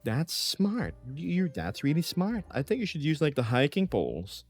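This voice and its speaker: A man's voice: fairly high pitch, wildly swooping pitch, normal speed, faint music behind. A man in his thirties streaming from home, chatting casually and reading chat messages aloud with frequent laughs.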